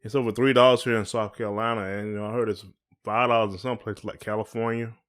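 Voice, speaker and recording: A man's voice: on the low side, level tone, quick pace. A man co-hosting a podcast in casual chat, asking questions in informal, hesitant phrasing. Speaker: podcast co-host.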